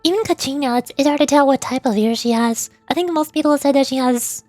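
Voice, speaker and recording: High-voiced woman, casual game streamer. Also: quick pace, a little mellow, dry recording, faint music behind. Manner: rambling, chatting to viewers. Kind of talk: casual livestream commentary.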